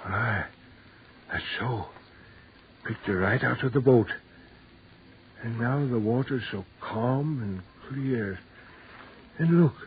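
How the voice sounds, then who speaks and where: A man's voice: medium pitch, takes it slowly, long pauses. An actor playing a panicked seaman in a 1940s radio horror drama, exclaiming frantically. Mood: awed. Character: panicked seaman fighting off a sea monster. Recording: muffled, noisy 1940s radio recording.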